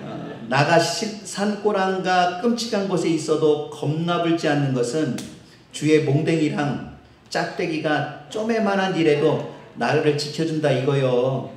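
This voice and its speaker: Pastor doing a hearty Jeolla-drawl storyteller. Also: male voice, a little high, a little slow, pitched above the performer's own voice, natural voice. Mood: folksy. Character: folksy man reading a psalm in rural dialect. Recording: echoey church hall.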